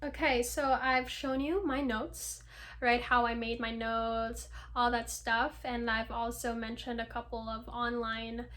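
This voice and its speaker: A woman's voice: on the high side, flat delivery, measured pace, a bit bright. A woman vlogging casually to the camera, chatty and filling her talk with ums and likes. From United States.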